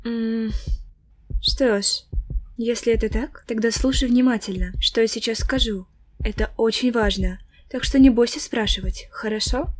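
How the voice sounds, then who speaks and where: Woman acting a measured, high-voiced monster doctor. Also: steady tone, lightly acted. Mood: serious, explanatory. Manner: measured, explanatory, clinical. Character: calm, caring female monster doctor explaining an illness. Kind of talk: comic dub acting.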